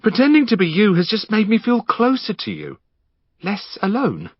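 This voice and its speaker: A man voicing a manic, unkempt young man who is obsessed with his old friend, talking in a high, frantic, giggling way. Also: huge pitch swings, normal speed, husky, no room sound, heavily acted. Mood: wistful. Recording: slightly dull dry studio recording.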